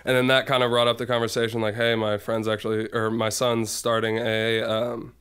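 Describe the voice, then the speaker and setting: A man with a smooth, clear voice chats casually as a guest on a podcast. He rambles along, loading his sentences with fillers and little asides. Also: medium pitch, completely flat delivery, brisk, fairly bright tone. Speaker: guest musician.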